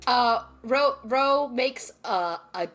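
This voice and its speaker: A woman's voice: on the high side, animated, slow, a bit bright. A woman player casually narrating her character's actions in a tabletop roleplaying game, wry and informal. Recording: music behind the voice.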